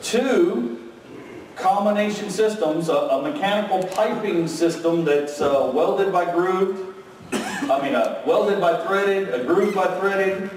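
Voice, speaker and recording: A man's voice: sits high, slow, recorded from a distance, a little hiss or hum. Man presenting in an echoey ballroom. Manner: energetic, frequent "okay?" and "right?" checks. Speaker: conference presenter. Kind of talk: technical keynote presentation. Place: large hotel conference room with audience.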